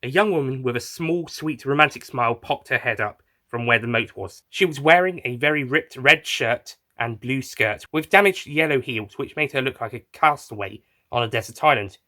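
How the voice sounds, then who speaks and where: Bright-voiced man narrating a story. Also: mid-range voice, normal speed, few pauses. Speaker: narrator and writer of an audio story. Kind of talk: first-person scripted narration.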